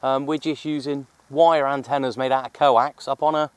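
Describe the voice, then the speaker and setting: A man's voice: mid-range voice, flat delivery, moderate pace, smooth, no echo. A man chatting informally in a matey way as he narrates an outdoor CB radio session. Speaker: CB radio operator and vlogger. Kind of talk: casual outdoor vlog and radio chat. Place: outdoors on an open hill.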